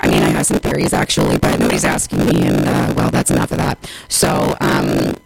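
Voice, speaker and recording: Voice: huge pitch swings, brisk, creaky, husky, no room sound, soft background music. A radio host from the United States rambling casually and wryly between songs, with the voice broken up by a robotic, glitching digital distortion. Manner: wry, sardonic, exasperated, swearing. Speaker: radio show host and podcaster. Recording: noisy, garbled low-bitrate streaming audio. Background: harsh interference noise.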